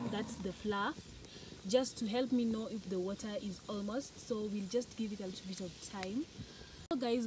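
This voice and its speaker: A young woman with an East African accent casually demonstrates cooking for a vlog, recorded outdoors. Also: on the high side. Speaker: cooking vlogger. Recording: noisy outdoor phone recording.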